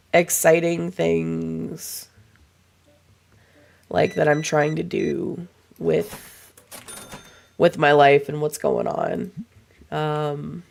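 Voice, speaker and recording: Hoarse, gravelly young woman streamer. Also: lowish voice, a little mellow. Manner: rambling, swears casually, chats to viewers. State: hoarse and froggy from a cold. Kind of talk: casual livestream chat while gaming.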